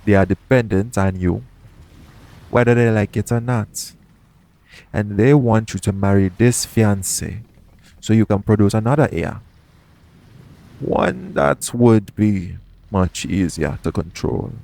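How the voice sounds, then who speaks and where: A man acting a shrewd, smug pirate captain in an audio roleplay, with a taunting, deliberate, persuasive way of talking. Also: fairly low pitch, gravelly, dark tone. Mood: persuasive, pointed.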